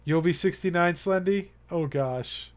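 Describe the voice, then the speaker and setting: A man's voice: high voice, measured pace. A young man chatting casually to his live-stream viewers, rambling and earnest, with many fillers and restarts. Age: young adult.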